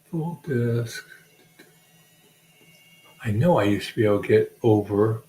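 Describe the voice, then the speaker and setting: A man's voice: lowish voice, expressive, takes it slowly, mellow, roomy sound, slight background noise. A man hosting a casual livestream, rambling and joking while he hums to himself and searches for things. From Alabama. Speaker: livestream host.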